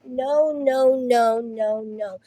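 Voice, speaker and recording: A woman's voice: fairly high pitch, very slow, dark tone, no room sound. A young woman, ill and losing her voice, chatting casually to camera at home, rambling and swearing with laughing asides. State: ill with Covid. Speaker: vlogger.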